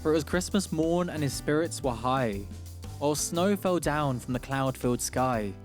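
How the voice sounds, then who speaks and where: High-pitched male storybook narrator over music. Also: lively intonation, moderate pace. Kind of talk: rhyming storybook radio narration.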